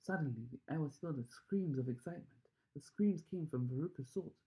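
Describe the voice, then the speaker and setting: A man reading a children's storybook aloud with expressive, emphatic narration. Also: on the high side, brisk, poor audio. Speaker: story reader.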